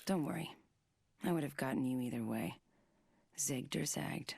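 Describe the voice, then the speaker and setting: A woman voicing a cool, stealthy, menacing fighter in a video game, in measured, taunting lines. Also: extremely low pitch, gravelly, darkish tone, a little hiss or hum, exaggerated voice. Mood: calm menacing.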